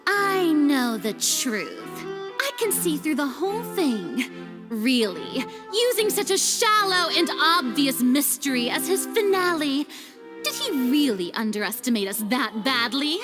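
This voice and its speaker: A dramatic young goddess from a game, very high and sweeping in pitch. She declaims slowly and haughtily over a clear music score. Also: sing-song. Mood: accusing. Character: grandiose young female deity, dramatic and self-assured. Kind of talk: game cutscene dub.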